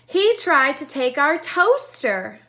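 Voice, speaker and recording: A cheerful family man from a children's storybook, played by a woman reader. He is proud and polite, speaking with emphasis in a bright, raised voice. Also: sits high, big pitch swings, slow pace, pitched above the performer's own voice, full character voice. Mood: eager, emphatic.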